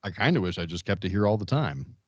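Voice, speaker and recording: Laid back guy cohosting a podcast, lowish voice, kinda muffled, no room sound. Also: very animated, fast, dry recording. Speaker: podcast co-host. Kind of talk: casual chat. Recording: slightly dull, muffled sound.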